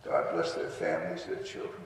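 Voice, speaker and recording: A man in his seventies, a pastor and veteran, giving a solemn memorial address and prayer at a podium in a hall. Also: fairly quick. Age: 70s. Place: large school-like hall, at a podium. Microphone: podium microphone. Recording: echoey hall, distant mic, faint noise.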